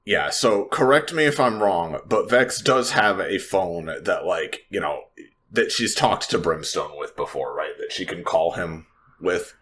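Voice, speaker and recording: Male tabletop player, very low and unhurried, casual improvised delivery, noisy ambience behind. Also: lively intonation, a bit bright. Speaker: player in a tabletop roleplaying game. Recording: clear background noise, ambience.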